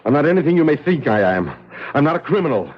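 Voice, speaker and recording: A tense, evasive man speaks in a fairly high, very animated voice in radio-drama style. The vintage recording is muffled and slightly noisy. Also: fast talker. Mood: tense, desperate. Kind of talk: 1970s radio mystery acting. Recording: muffled 1970s radio broadcast, faint noise.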